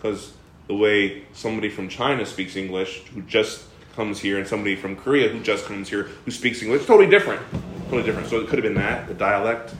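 A man leading a Bible study, explaining a passage with lively questions to the class and frequent fillers and restarts. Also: on the low side, fast, reverberant, slight background noise. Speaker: bible teacher. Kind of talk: interactive bible study teaching.

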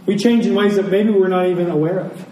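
Man, earnest preacher, soft mellow tone, sermon delivery, repeated key phrases, roomy distant recording. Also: sits high, steady tone, fast. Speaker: pastor preaching. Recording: roomy, distant pickup with faint noise.

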